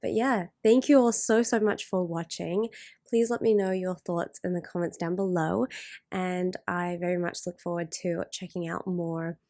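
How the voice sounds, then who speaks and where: A woman with a slightly low voice gives casual, unscripted reaction commentary. She speaks in a halting way, working out her thoughts as she goes. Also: fairly even intonation, dark tone, no echo, studio quality. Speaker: reaction video host.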